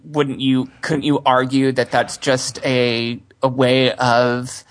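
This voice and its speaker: A man with a middle-pitched, ordinary voice who talks thoughtfully, then slips in deadpan jokes with a straight face. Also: slow pace. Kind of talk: casual chat.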